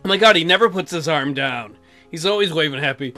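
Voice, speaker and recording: Male let's player, profane and sarcastic. Bright, lively delivery over game music. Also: a little high, fast talker. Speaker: gaming video host. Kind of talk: casual let's play commentary, reading game text aloud. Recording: music behind the voice.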